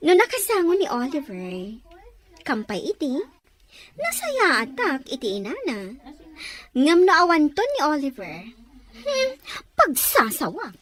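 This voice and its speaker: A sweet-talking young schemer in an Ilocano radio drama. Her high voice swoops expressively and carries an Ilocano accent. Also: wildly swooping pitch, slow pace, a bit bright, heavily acted. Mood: scheming.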